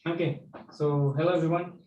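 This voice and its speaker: A man's voice: steady tone, moderate pace, darkish tone. A young man from Indonesia, a backend developer answering interview questions with many hums and fillers. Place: office room. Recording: echoey room, distant mic, faint rumble.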